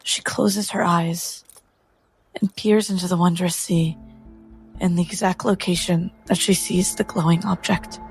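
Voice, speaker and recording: A woman playing a tabletop game, narrating her character's actions in a measured, dramatic way. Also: on the low side, steady tone, faint music behind, rough recording.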